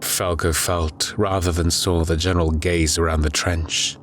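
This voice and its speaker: A man narrating a scripted horror audiobook in a grave, measured storytelling manner. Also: deep voice, flat delivery, slight background noise.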